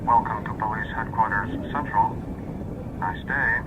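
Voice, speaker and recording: A man voices an automated police checkpoint machine in a radio drama. It is formal, courteous and impersonal, with a muffled sound and clear noise behind it. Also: lowish voice, fairly even intonation, measured pace, natural voice. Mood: impersonally courteous.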